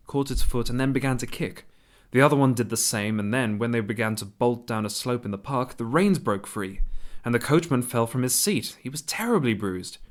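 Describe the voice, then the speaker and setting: A composed 19th-century composer played by a male actor tells of his travels as if writing a letter. His delivery is measured and reflective, with a mid-pitched male voice, in radio play style. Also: fairly bright tone, natural voice. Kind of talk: dramatised reading of a personal letter.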